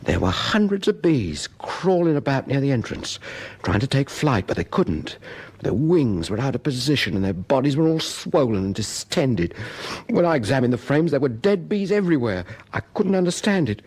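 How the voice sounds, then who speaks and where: An actor playing a middle-aged put-upon husband in a British radio drama, earnest and exasperated, with a British accent. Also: male voice, medium pitch, very animated, moderate pace, a little mellow, breathy edge. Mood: recounting. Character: put-upon beekeeping husband, recounting events with grievance. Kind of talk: radio drama acting and first-person narration.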